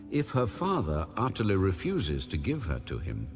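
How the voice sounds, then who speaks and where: A man reading scripture as a scripted audio narration, formal, solemn and measured in delivery. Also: sits low, huge pitch swings. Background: music under the voice.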